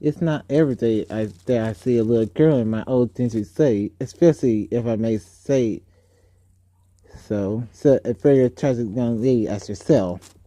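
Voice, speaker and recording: A man's voice: medium pitch, normal speed, warm tone, no room sound, close to an everyday voice. A man voicing a storybook villain, a sly older uncle who is cunning, wheedling and falsely genial. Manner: cunning, wheedling, self-pitying.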